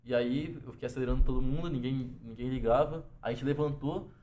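A man from São Paulo with a Paulista accent, a podcast guest telling stories in a fast, casual way full of slang tags. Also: mid-range voice, mellow. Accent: Paulista Brazilian Portuguese. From São Paulo, Brazil.

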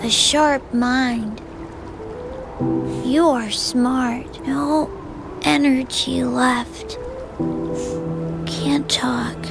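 Tired little spirit cat, very slow broken phrases, creaky, game voice with music behind. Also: female voice, high voice, fairly even intonation, full character voice. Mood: weary, solemn. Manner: halting, clipped broken phrases.

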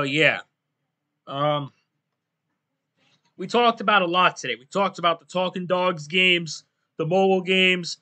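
A young man hosting his own podcast from a small home room on a headset, rambling casually, his voice going a little hoarse. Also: fairly high pitch, pushed and bright. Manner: rambling, loses his train of thought. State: voice going hoarse, clearing throat. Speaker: podcast host and game developer. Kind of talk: casual solo podcast talk.

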